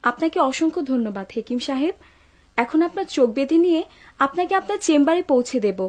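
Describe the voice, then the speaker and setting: A woman's voice: high-pitched, animated, fast, darkish tone. A woman playing a polite, resolute young servant in a cartoon folk tale, courteous and composed. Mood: respectful. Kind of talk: cartoon dub acting.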